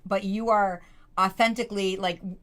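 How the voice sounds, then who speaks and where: A woman's voice: medium pitch, steady tone, normal speed, a bit bright. Woman hosting a podcast, keeps saying yeah, supportive casual chat. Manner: encouraging, frequent affirmations. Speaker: podcast host.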